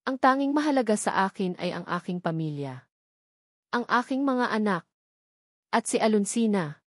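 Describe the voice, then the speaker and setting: A woman's voice: mid-range voice, expressive, normal speed, dry recording, close to an everyday voice. A woman reads as a determined, embittered adult son in a storybook drama. The delivery is firm and accusing, with a Filipino accent. The recording is dry and close. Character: bitter, determined adult son confronting his tycoon father.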